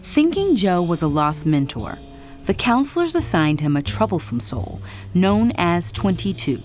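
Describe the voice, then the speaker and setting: Expressive woman narrating over music, lively pitch. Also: unhurried. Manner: expressive, dramatic storytelling. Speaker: storybook narrator. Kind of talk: scripted narration for a children's read-along.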